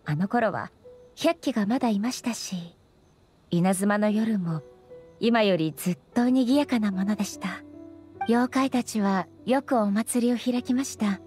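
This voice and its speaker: A woman voicing a dignified, gentle female ruler in a Japanese anime game, speaking politely and formally with measured composure. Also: mid-range voice, faint music behind, natural voice. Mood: serene, nostalgic.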